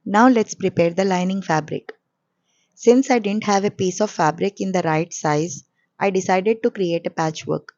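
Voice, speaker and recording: Hoarse woman voicing a sewing tutorial. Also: medium pitch, moderate pace, no echo, highs cut off, faint music behind. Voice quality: coarse. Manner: calm step-by-step explaining. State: hoarse from a cold. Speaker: sewing channel host.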